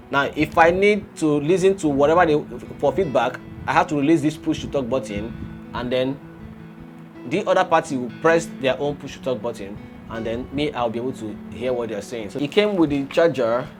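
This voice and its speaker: A man hosting a casual gadget review, explaining and demonstrating as he goes. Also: medium pitch. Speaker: gadget reviewer and video host. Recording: music playing behind the voice.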